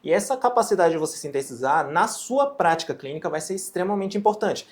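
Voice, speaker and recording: A man's voice: high voice, animated. Japanese-accented man explaining teaching ideas. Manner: measured, explanatory, often ends phrases with a questioning tag. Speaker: physician and medical educator. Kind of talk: interview, explaining his teaching approach.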